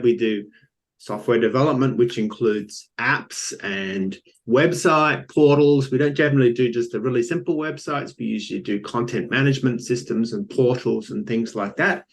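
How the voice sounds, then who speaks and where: Older Australian man giving a loose pitch. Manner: explanatory, loose and unscripted with many ums and restarts. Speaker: company founder pitching. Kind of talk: business pitch presentation.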